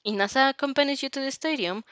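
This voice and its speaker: A young woman streaming a casual read-aloud of fan fiction, giggly, animated and scattered in her talk. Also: sits high, fast, dry recording.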